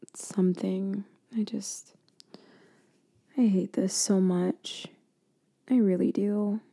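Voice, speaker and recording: A woman doing a girlfriend roleplay for ASMR, speaking softly and intimately, slipping in and out of a whisper and often trailing off mid-thought. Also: little pitch movement, takes it slowly, gravelly. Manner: soft, intimate, drifting between low voice and whisper; hesitant, trailing off and restarting.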